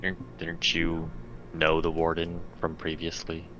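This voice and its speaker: A man with a very deep yet forceful, bright voice chats casually in a tabletop roleplaying game. He comes through a dull, lo-fi mic with faint noise. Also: monotone, unhurried, faint music behind. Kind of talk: casual in-character game chat.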